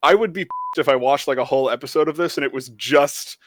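A man's voice: mid-range voice, fast, no echo, studio quality. A man on a podcast panel chatting casually in a rambling way, saying "like" a lot. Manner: rambling, peppers talk with "like". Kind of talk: casual chat.

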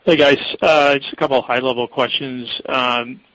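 A man's voice: medium pitch, steady tone, normal speed, fairly bright tone, slight background noise, low-quality recording. A man, a financial analyst, asking winding, hesitant questions on an earnings call.

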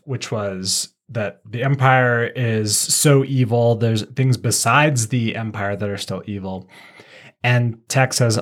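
A podcast co-host talking conversationally, explaining and recounting like a storyteller. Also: medium pitch.

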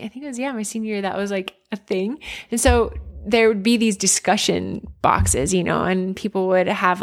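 A woman in her forties from near Philadelphia, a former basketball player speaking as an interview guest. She talks in an earnest, candid way, full of fillers and restarts. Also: medium pitch. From United States, near Philadelphia. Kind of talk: reflective conversational interview.

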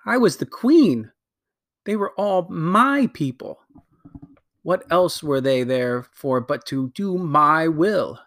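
A man voicing a proud, ruthless queen with a slow, stately, commanding delivery. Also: on the high side, animated, a bit bright, no echo, clean studio sound, pitched above the performer's own voice, heavily acted. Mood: imperious.

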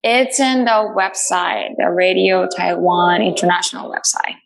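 Woman guest from Latin America, gravelly chatty delivery full of fillers. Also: fairly low pitch, unhurried, low-quality recording. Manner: chatty, many fillers and restarts, laughs often. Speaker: radio show host, guest. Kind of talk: casual interview answers.

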